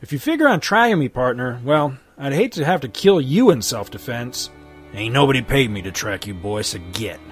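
A man reading a gruff western bounty hunter. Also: mid-range voice, expressive, normal speed, faint music behind, lightly acted. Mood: hard. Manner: terse, drawling frontier talk.

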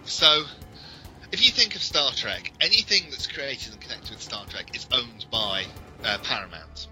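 A man's voice: medium pitch, animated, a little slow, sharp tone, soft background music, low-quality recording. A man co-hosting a podcast, explaining things in detail in a casual, discursive fan-discussion style. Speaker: podcast co-host.

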